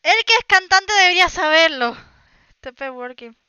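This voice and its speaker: A woman from Spain hosting a reaction stream in Spanish, reacting with excited, exclamatory interjections. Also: extremely high pitch, normal speed, no room sound. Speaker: VTuber host reacting to clips. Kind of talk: live reaction commentary. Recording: dull, slightly lo-fi dry mic.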